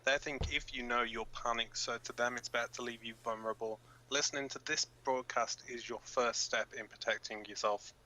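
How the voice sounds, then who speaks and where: A man's voice: medium pitch, steady tone, brisk, few pauses, pushed and bright, slight background noise, rough recording. A man playing a blunt, cynical resistance fighter co-hosting an underground broadcast in a radio drama, speaking informally. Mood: grim warning.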